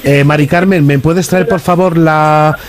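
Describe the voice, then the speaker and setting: A man's voice: on the high side, steady tone, measured pace, a crowd in the background. A man from Spain with a Spanish accent, a radio host making a prank phone call as an insistent, officious company operator.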